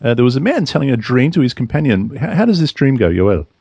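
A man's voice: mid-range voice, very animated, fast talker, darkish tone, breathy edge, no echo, lo-fi sound. A man reading Bible verses aloud and commenting on them in a casual study discussion. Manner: reads verses aloud, then comments conversationally.

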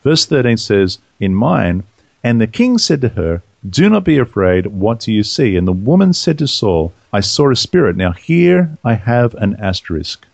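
A man's voice: on the low side, very animated, normal speed, vocal fry, darkish tone, no room sound. A man hosting a casual Bible study talk, eagerly reading verses aloud and interjecting with questions. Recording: muffled, lo-fi audio.

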